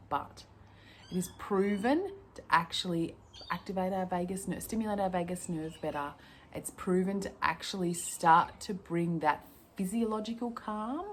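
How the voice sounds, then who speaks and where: Woman in her thirties, lower voice, croaky with a cold, gentle chatty coach, faint background hum. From Australia. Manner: gentle, chatty, slows down to calm. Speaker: mindfulness and stress coach. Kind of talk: guided breathing lesson to camera.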